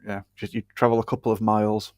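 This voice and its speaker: A man's voice: fairly low pitch, level tone, quick pace, darkish tone, dry recording. English man, co-host, cheeky sweary banter, dry close sound. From England. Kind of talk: casual wrestling chat.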